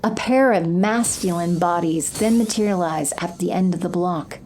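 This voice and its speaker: A woman's voice: fairly low pitch, normal speed, darkish tone, a little hiss or hum. A woman reading scripted scene narration for an audio drama.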